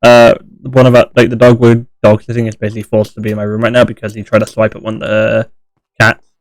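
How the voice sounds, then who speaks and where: A man's voice: lowish voice, monotone, quick pace, no echo. A young British man with a British accent, chatting and teasing friends while streaming a game, laughing often. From Britain. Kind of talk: casual gaming chat.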